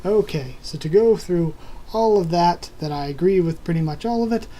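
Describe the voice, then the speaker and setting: A man's voice: sits high, normal speed, dark tone. A man hosting a casual video where he answers viewer comments, rambling with many filler words while he is losing his voice. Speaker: YouTube host answering comments.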